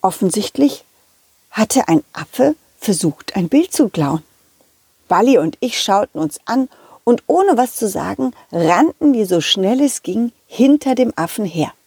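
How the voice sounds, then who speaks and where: A woman telling a children's story as an animated narrator. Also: mid-range voice, unhurried, husky, dry recording, studio quality. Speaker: storyteller and puppeteer.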